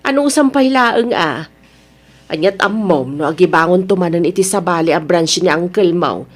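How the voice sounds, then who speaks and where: A woman's voice: lowish voice, expressive, normal speed. A woman acting a firm, humble middle-aged mother with an Ilocano Filipino accent, scolding and advising in a motherly way in an audio drama. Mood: exasperated.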